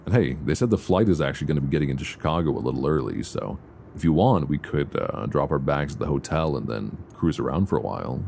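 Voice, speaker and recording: A man playing an affectionate, playful boyfriend in an intimate ASMR roleplay, talking softly and teasingly in an unhurried, conversational way. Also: rumbling low, animated, vocal fry, full character voice. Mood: relaxed, affectionate. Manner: soft teasing, unhurried, conversational with hesitant pauses. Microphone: close binaural microphone. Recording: close binaural recording, faint background noise.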